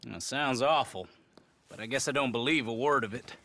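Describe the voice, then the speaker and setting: A man's voice: medium pitch, moderate pace, sharp tone. An actor playing a skeptical but friendly ranch foreman in a Western game, folksy and probing. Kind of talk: naturalistic Western game acting.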